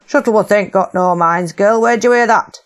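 A woman's voice: mid-range voice, moderate pace, natural voice. A woman voicing a blunt, tough older rebel leader, in an audiobook. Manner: blunt, gruff dialect.

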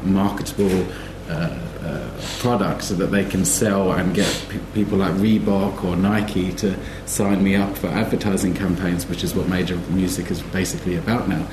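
A man on a live panel, talking conversationally in a somewhat halting way. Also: low-pitched, fairly even intonation. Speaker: panellist, musician. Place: live festival panel in a roomy venue. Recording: echoey room with clear background noise.

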